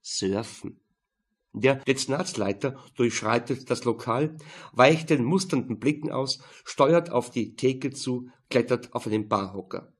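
A man's voice: medium pitch, unhurried, breathy edge. A man reading a crime novel as scripted narration. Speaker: audiobook narrator.

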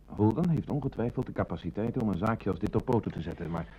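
Radio play sergeant: dark, husky voice, deferential and considered. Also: male voice, on the low side, expressive, fast talker, rough recording. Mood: thoughtful. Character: deferential, thoughtful male police sergeant. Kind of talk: Dutch radio play acting.

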